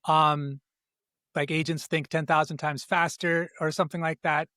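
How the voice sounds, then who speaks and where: A man's voice: a little high, flat delivery, moderate pace, bright, forceful tone, no room sound, clean studio sound. A man hosting a podcast, asking curious, rambling questions with many fillers. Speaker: podcast host. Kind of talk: casual podcast interview.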